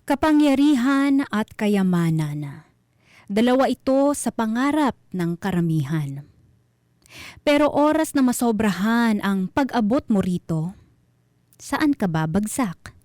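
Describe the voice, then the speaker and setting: Female Filipino narrator for suspense tales: unhurried, measured delivery, dry studio sound. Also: on the high side, sing-song, a little mellow, no echo. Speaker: host and storyteller. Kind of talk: scripted narration of mystery stories.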